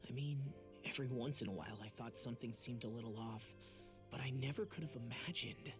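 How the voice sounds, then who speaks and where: A man's voice: mid-range voice, fast, lightly acted. Male actor as young game hero, earnest, self-doubting, casual, sincere, music behind. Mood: troubled, candid. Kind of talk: video game English voice acting.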